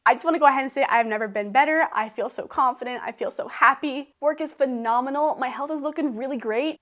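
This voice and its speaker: Quick, high-voiced woman vlogging. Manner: emotional, laughing through tears, chatty. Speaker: YouTuber. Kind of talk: casual vlog talk to camera. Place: living room at home.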